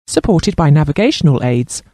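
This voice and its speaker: A man reading scripted instructional narration as a training narrator, in a formal, measured and precise manner. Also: a little high, expressive, mellow, dry recording, studio quality.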